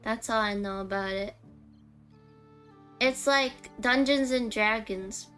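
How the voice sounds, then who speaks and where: A woman's voice: a little high, slow pace, a bit bright. A woman streaming a video game, giving playful, chatty casual commentary. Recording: faint music behind the voice.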